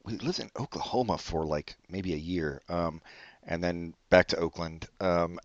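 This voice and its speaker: Deep-voiced male co-host, dry and rambling, muffled dry recording. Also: steady tone, normal speed, no echo. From born in Brazil, raised in California. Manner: dry, rambling, swears casually. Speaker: podcast co-host. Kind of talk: casual comedic chat. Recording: dull dry lo-fi audio.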